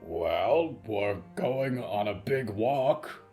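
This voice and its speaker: A man's voice: on the low side, expressive, extremely slow, warm tone, faint music behind. Man as eager, timid clawed creature. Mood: hopeful.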